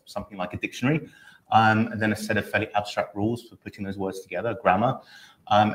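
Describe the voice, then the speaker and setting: A middle-aged man explaining language ideas in a relaxed, casual way during a live online session, with frequent ums and you knows. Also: on the low side, rough recording. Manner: relaxed explainer, many fillers like um and you know. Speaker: linguistics researcher.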